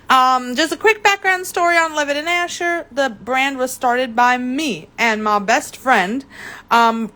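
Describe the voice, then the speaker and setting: A woman's voice: high-pitched, fairly bright tone. Chatty young woman hosting a live craft demo. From Germany. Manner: chatty, greets viewers by name, often stumbles on words. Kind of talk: casual live demo chat. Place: indoor craft table.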